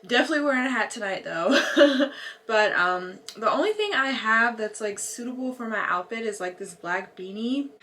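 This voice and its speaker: High-voiced young American woman vlogging. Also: lively intonation, normal speed, bright, forceful tone. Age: young adult. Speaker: lifestyle vlogger. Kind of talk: casual chatty vlog.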